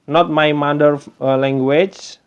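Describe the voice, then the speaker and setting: A man from Indonesia speaking English as a second language, commenting on a game walkthrough with many pauses while searching for words. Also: a little high, steady tone, clean tone, dull audio. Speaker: gameplay youtuber.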